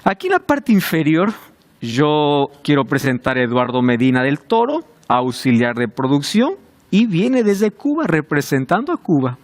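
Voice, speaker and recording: A man's voice: a little high, big pitch swings, normal speed. A man hosting a televised Bible study, welcoming and speaking directly to the viewers. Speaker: host of a Bible study show.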